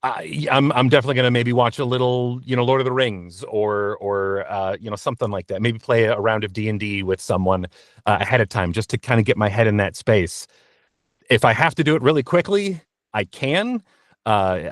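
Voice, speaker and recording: A man's voice: mid-range voice, no room sound, studio quality. A man answering as an interview guest in a conversational, practical, explanatory way. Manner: practical, explanatory advice-giving.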